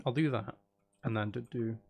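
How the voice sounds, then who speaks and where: A man's voice: medium pitch, fairly even intonation, normal speed, darkish tone, slight background noise. A man chatting casually on a gaming livestream, thinking aloud as he builds and bantering playfully with viewers, with some mild swearing. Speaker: livestream host.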